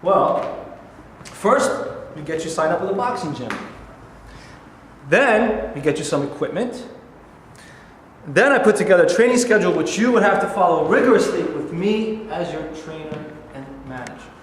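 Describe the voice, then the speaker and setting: An actor playing a blunt, persuasive middle-aged uncle who used to box, in a staged play reading. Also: male voice, fairly high pitch, lively intonation, normal speed, natural voice. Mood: coaxing. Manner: blunt, persuasive, working-class. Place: large bare rehearsal studio. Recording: very echoey room, faint hiss.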